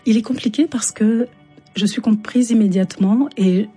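A woman's voice: fairly high pitch, moderate pace, dark tone, breathy edge, soft background music. A woman born in Istanbul and raised in France, a native Turkish speaker, answering reflectively and thoughtfully as an interview guest. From born in Istanbul, grew up in Chartres, France. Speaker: interview guest, author and singer.

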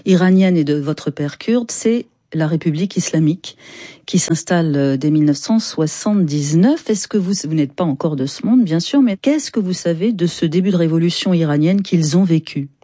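A woman hosting a conversational broadcast interview, putting her questions deliberately and probingly. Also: on the low side, animated, dark tone, no room sound, studio quality. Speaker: interviewer, radio host.

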